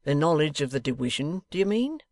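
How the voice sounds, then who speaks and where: A man acts out a sly Victorian bully for an audiobook. The rogue sneers and blusters with impudent contempt, in rough colloquial phrases. The recording is dry and close. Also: on the high side, sing-song, brisk, a little mellow, dry recording. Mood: sneering. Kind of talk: dramatised audiobook character voice.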